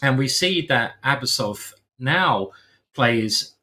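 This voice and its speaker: A man's voice: medium pitch, animated, slow, sharp tone. An English man commentating live chess in a playful, chatty way, with many fillers and restarts.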